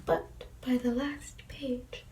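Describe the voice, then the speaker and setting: A woman reading children's storybooks in a soft, breathy whisper, gently commenting on the pictures between pages. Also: high voice, little pitch movement, slow pace, distant mic, a little hiss or hum. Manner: gentle, with quiet asides on the pictures. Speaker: storybook reader.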